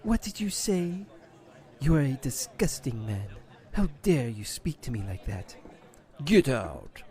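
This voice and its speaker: A man's voice: mid-range voice, big pitch swings, measured pace, dark tone, some chatter behind. A man voicing a flirtatious, worldly countess in an amateur audio drama. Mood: outraged.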